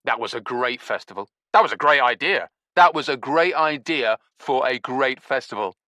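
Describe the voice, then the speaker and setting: A man's voice: mid-range voice, steady tone, a little fast, sharp tone, dry recording, studio quality. A man putting on a brash, smug show-off. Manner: boastful, airy, repeats himself. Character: smug pushy young man, brash and loud.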